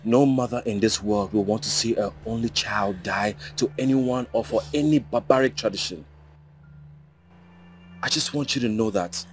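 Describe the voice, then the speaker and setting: A man's voice: medium pitch, slight background noise, close to an everyday voice. An actor playing a young man who earnestly comforts a grieving mother. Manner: soothing, earnest.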